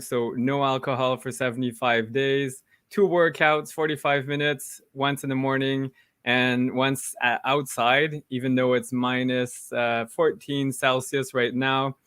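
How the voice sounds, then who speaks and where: A man's voice: medium pitch, fairly even intonation, a little slow, fairly bright tone, smooth, no echo, studio quality. A man hosting a casual interview podcast with an enthusiastic manner. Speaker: podcast host.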